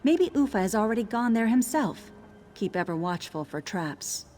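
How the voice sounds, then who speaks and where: An actress playing a watchful resistance contact in a fantasy video game, giving cautious instructions in a measured, conspiratorial way. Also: female voice, on the high side, sing-song, a little mellow, in a large hall, faint music behind. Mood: cautious, instructing. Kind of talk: scripted game quest dialogue.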